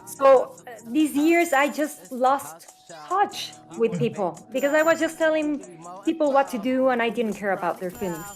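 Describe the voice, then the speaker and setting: A woman's voice: high voice, expressive, normal speed, faint music behind. A middle-aged woman from Mexico City with a Mexican accent and Spanish as her first language, telling personal stories casually as an interview guest, acting out quotes. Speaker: interview guest, health coach.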